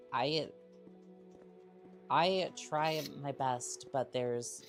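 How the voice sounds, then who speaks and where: A woman streaming a game and chatting with viewers, her voice strained and husky from a cough, talking in halting, rambling bursts. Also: extremely low pitch. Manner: rambling, halting, many fillers and restarts. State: sick with a cough. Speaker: VTuber streamer. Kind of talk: casual live-stream chat while gaming.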